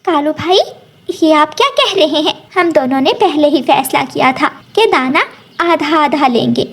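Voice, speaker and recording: A woman voicing a gentle, trusting mother sparrow in an animated children's story. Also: high voice, measured pace, pitched above the performer's own voice. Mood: dismayed. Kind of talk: animated Hindi moral story dialogue.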